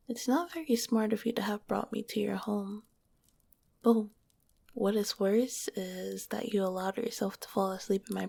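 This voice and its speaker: A gentle slime monster girl whispers close to the ear, as a woman acts her out, her breathy voice catching in a gravelly fry. She teases a little, then turns tender and comforting. The sound is dry and intimate, as in an ASMR roleplay. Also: mid-range voice, no room sound, full character voice. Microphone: close mic.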